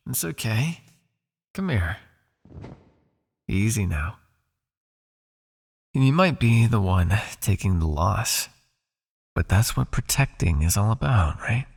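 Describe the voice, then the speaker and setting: Casting: male actor as smug vampire underworld lord, condescending and possessive, gravelly mid-pitched voice, intimate ASMR roleplay delivery. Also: exaggerated voice. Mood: soothing, possessive. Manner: taunting, silky, condescending with sighs and soft shushing.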